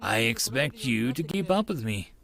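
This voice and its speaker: A man's voice: medium pitch, very animated, moderate pace, vocal fry, fairly bright tone. A man voicing a grandiose, theatrical young self-styled mad scientist in a first-person audiobook story, speaking pompously. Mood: pompous, insistent. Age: young adult. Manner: pompous, melodramatic.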